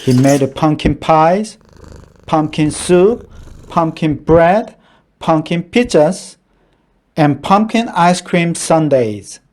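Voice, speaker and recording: Slow, animated man reading a children's story. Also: fairly high pitch. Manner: slow, deliberate, dramatic storytelling. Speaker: storyteller reading a picture book aloud. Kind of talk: children's story read-aloud.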